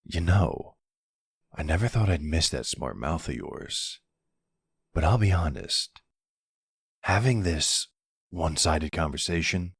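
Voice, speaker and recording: A man playing a smug, flirty vampire in an intimate ASMR roleplay, teasing and softly menacing, with a husky, drawling delivery. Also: dry recording, clean studio sound, full character voice. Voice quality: husky, gravelly, very deep. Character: flirty, smug male vampire taunting a captive hunter.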